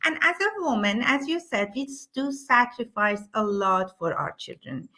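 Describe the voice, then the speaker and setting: A middle-aged woman with a high, bright, forceful voice speaks with real enthusiasm in a live online interview. She talks eagerly, backtracking and restarting her phrases as she promotes a business. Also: big pitch swings, normal speed.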